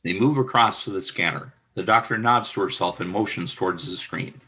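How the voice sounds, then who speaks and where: Husky male radio play narrator. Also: deep voice, pushed and bright, low-quality recording. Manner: measured, announcer-like.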